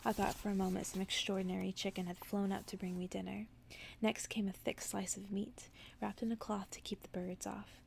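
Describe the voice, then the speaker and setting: A woman softly reading a bedtime story in an ASMR style, hushed and gentle, slipping into whispers. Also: medium pitch, creaky, a little hiss or hum. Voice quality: soft, partly whispered. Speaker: ASMR narrator.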